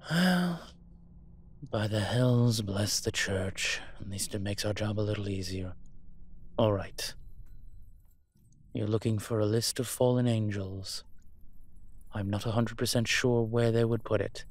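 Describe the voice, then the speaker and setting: A man playing a suave incubus demon professor in a roleplay audio drama, measured, wry and teasing. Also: lowish voice. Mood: focused, instructive.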